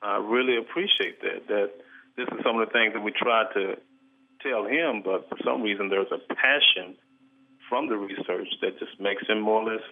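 A man phones in to a radio program, polite and earnest as he rambles through his concern. His voice sits a little low. The sound is thin and tinny, squeezed through a phone line. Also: moderate pace. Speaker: caller. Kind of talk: phoning in a question to a radio show.

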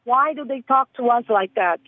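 A woman from Brazil with a Brazilian Portuguese accent, a caller asking a question in halting English, searching for her words. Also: on the high side, dry recording. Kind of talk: call-in question on the phone. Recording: tinny phone line.

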